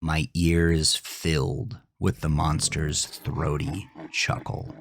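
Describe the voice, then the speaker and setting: A man narrating a first-person horror story with intense, deliberate, theatrical delivery and a husky voice. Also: extremely low pitch, level tone, slight background noise.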